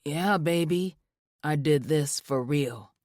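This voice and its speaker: A woman's voice: low voice, slow, a little mellow, dry recording, clean studio sound. A woman voicing a loving, easygoing adult man in an audiobook, talking to his wife. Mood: tender. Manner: easygoing, affectionate. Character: loving proud adult man, calm husband. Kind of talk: audiobook narration.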